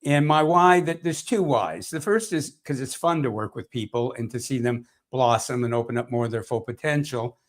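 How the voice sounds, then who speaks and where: A man introduces himself on an online panel in a mid-pitched voice. He sounds cheerful and friendly. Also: normal speed, few pauses. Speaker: energy work practitioner.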